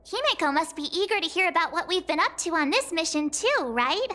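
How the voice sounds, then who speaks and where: A woman's voice: very high-pitched, big pitch swings, brisk, bright, forceful tone, heavily acted. A woman voicing a bubbly, scatterbrained, chatty teenage girl in a video game. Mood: perky.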